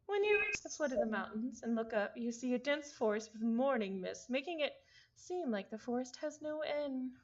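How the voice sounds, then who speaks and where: High-voiced woman reading aloud, lo-fi mic. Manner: informal, self-interrupting, apologetic about name pronunciation. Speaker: reader of a visual novel. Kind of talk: casual read-aloud of a game script with ad-libbed asides.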